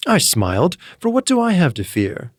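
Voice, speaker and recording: Male narrator, horror tale, intense, animated pitch, dry close mic. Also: normal speed, no room sound. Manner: intense, building from calm menace to frantic. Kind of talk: dramatic reading of a classic horror tale.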